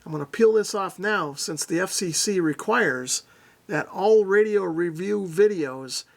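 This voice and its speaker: A man's voice: high voice, a little slow, vocal fry. A middle-aged man narrating a casual hands-on product demo, explaining things methodically and without hurry. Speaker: radio reviewer, presenter.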